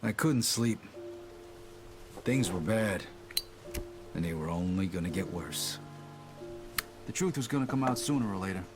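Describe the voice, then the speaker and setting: A terse young mobster, low voice. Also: male voice, lively intonation, unhurried, long pauses, over music. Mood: reflective. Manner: terse, guarded, reflective in narration. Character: weary young male mobster. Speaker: protagonist and narrator. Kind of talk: video game cutscene acting.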